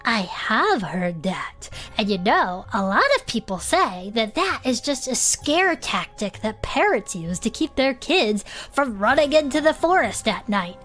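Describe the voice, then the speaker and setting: Woman playing an earnest, high-voiced cryptid enthusiast. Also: big pitch swings, unhurried, few pauses, a bit bright, faint music behind. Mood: intrigued. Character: earnest cryptid-loving former adventurer, co-host sidekick. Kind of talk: chatty in-character audio drama banter.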